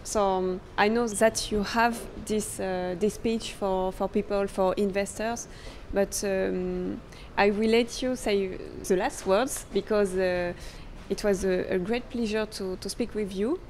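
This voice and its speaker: French woman with a halting English. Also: medium pitch, fairly even intonation, darkish tone, a little hiss or hum. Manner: halting, searching for words with many fillers. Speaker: interviewer. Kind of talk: conference interview. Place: crypto conference venue.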